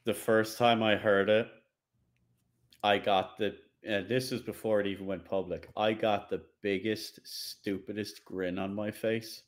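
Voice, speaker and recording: A man's voice: on the low side, flat delivery, unhurried. A man co-hosting a podcast about games, speaking in a casual, conversational style. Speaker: podcast co-host. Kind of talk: casual gaming podcast chat.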